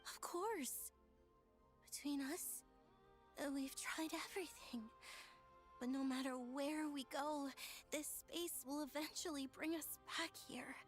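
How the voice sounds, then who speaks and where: A woman voicing a sweet, wistful, girlish otherworldly maiden in a video game, gentle and unhurried. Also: high-pitched, steady tone. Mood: gentle, puzzled. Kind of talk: English video game voice acting. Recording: music under the voice.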